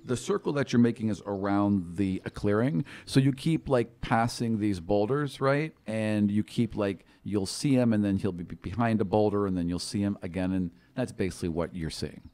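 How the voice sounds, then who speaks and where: A man's voice: fairly low pitch, normal speed, few pauses, mellow, dry recording. A man working as a game master, improvising the narration of a tabletop roleplaying game in a casual, agreeable, conversational way.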